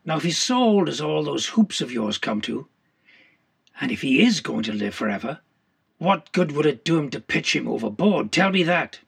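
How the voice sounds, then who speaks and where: A man voicing a sceptical, needling sailor in an audiobook, husky and gravelly, with blunt, probing speech. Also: on the high side, big pitch swings, moderate pace, full character voice. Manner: blunt, probing, colloquial questions. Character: sceptical, needling male whaling mate quizzing his shipmate.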